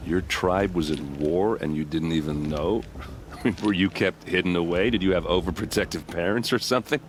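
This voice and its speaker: A man's voice: low-pitched, moderate pace, a little hiss or hum, lightly acted. An actor playing a friendly, easygoing young male soldier in a game cutscene, talking in a casual, joking way. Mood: teasing. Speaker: game character. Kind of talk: video game cutscene dialogue.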